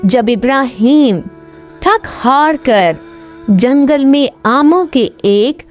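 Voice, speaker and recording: A woman's voice: sits high, animated, soft background music. A woman narrating a scripted fairy tale as a storyteller.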